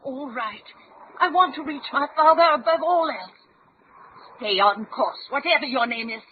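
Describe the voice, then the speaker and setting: An actress playing a determined, suspicious young lady, crisp and proper, in an old-time radio adventure. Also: high voice, animated, normal speed. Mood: steely. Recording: dull old radio recording with faint hiss.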